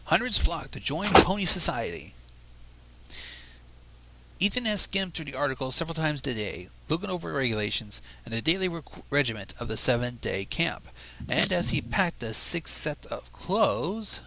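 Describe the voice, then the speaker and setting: Animated, gravelly man narrating a story. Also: fairly high pitch, rough recording. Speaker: livestream fanfic reader. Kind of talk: scripted story narration with casual asides.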